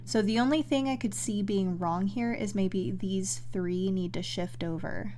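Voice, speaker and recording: A woman giving casual live game commentary, musing and halting as she thinks aloud and corrects herself. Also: medium pitch, warm tone, slight background noise. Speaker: game streamer playing a puzzle adventure.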